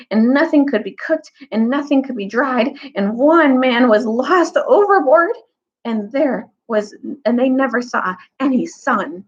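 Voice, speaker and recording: A woman reading a children's novel aloud at storytime, pausing now and then to explain a word. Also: a little high, muffled recording. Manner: expressive reading with brief asides explaining words.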